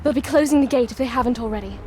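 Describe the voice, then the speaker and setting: An actress playing a tough young woman soldier in a fantasy game, brisk, forthright and urgent. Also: fairly high pitch, mellow, natural voice. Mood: tense, brisk. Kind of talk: cinematic fantasy game acting. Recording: orchestral music behind the voice.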